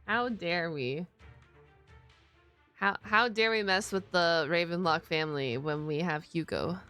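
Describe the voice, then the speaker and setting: A woman reacts live as a game streamer, chatting informally. Her voice is bright and forward, with excitable, exclamatory delivery. Faint music from the game sits behind her. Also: lowish voice, measured pace, clean tone. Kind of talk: casual live reaction chat. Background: game audio and music.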